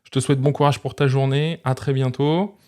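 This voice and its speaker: A man's voice: mid-range voice, steady tone, fairly quick, a little mellow. A man hosting a tech review, explaining gear casually and conversationally while speaking straight to his viewers. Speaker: host of a tech review channel. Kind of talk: casual product demonstration to camera.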